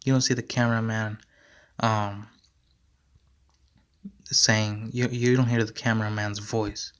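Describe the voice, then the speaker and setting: A young man hosting his own podcast, rambling in a slangy, repetitive way and going hoarse as he talks. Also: lowish voice, level tone, measured pace. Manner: slangy, repeats himself, many fillers. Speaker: podcast host. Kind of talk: casual rambling monologue.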